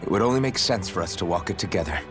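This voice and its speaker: An actor playing an earnest, idealistic young freedom fighter in a video game, with a sincere, direct way of talking. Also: male voice, low-pitched, animated, racing. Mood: persuasive. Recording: game dialogue over music.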